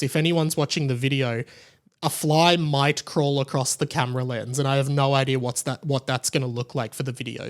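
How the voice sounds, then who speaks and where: A man in his thirties with an Australian accent hosting a podcast solo, chatty and rambling in casual commentary. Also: mid-range voice. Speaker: podcast host.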